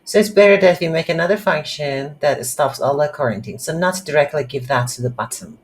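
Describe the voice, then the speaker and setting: A tutorial instructor thinking aloud in a halting, unscripted way while walking through a screen-recorded coding lesson. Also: mid-range voice. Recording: light background noise.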